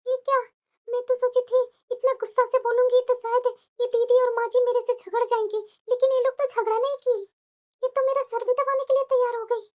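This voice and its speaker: A woman's voice: very high voice, steady tone, fast talker, fairly bright tone. A woman doing a sly scheming young bride. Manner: muttered asides, petulant when speaking aloud. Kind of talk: homemade family skit acting.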